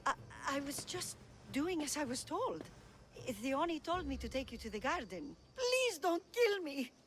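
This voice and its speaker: A scared, pleading temple servant in a video game cutscene. She speaks nervously and haltingly, over noticeable background noise. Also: high-pitched, big pitch swings. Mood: terrified. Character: frightened, pleading female servant of a priestess.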